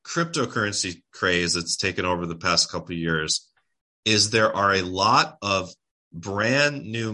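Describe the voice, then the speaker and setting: Tired male guest, low-ish bright voice, casual rambling delivery with fillers. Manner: rambling, restarts words, many fillers. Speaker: spiritual guest speaker. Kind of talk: casual livestream talk.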